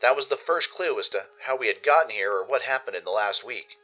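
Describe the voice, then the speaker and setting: A man giving expressive, dramatic first-person narration for a radio play. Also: medium pitch, fairly quick, faint music behind, low-quality recording. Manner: expressive storytelling. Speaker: narrator and producer.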